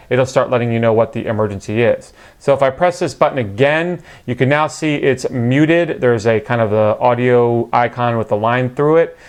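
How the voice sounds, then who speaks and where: A man's voice: mid-range voice, a little mellow, clean tone. A man with a mid-range voice gives an informal product walkthrough. He speaks in a conversational, matter-of-fact way, explaining as he goes. The delivery is unscripted and plain. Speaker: product reviewer. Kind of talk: casual unscripted product review narration.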